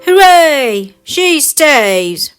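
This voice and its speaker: A woman voicing excitable little male dwarfs in a children's fairy tale, blunt and given to exclaiming. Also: high voice, very slow, faint music behind, pitched above the performer's own voice, heavily acted. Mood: excited.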